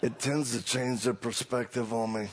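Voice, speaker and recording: A man's voice: mid-range voice, fairly even intonation, normal speed. A middle-aged man from Louisiana with a Cajun accent, a preacher giving a hyped-up, self-deprecating comic talk to a live audience. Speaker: preacher and motivational speaker.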